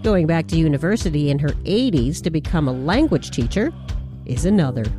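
A woman hosting a radio show, narrating a scripted feature in a measured, deliberate way. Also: deep voice, expressive, over music.

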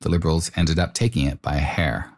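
A man's voice: very deep voice, steady tone, fast, a little mellow. A man hosting a documentary, narrating from a script and putting questions to his guest. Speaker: host and reporter.

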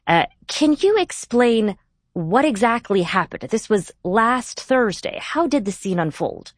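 A woman's voice: medium pitch, very animated, a little slow, no echo, clean studio sound. A woman hosting a news podcast, moving between scripted narration and interview questions. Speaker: podcast host.

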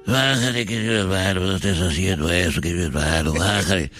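A man puts on a nagging old Mexican man for comedy. The voice drops deep and drones on, pleading the same thing over and over, with music in the background. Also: brisk, fairly bright tone. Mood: mock pleading. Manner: droning, repetitive, pleading. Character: mocking imitation of a whiny, nagging old man. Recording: music playing behind the voice.

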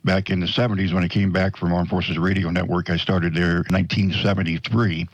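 Older guy, radio host, real low voice, folksy and rambly, kinda dull lo-fi sound. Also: flat delivery, brisk, no room sound. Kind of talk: casual radio interview.

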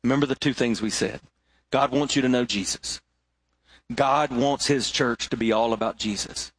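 A middle-aged male pastor preaching a sermon, swinging between rising emphasis and hushed, low moments. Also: steady tone, moderate pace, no room sound, poor audio.

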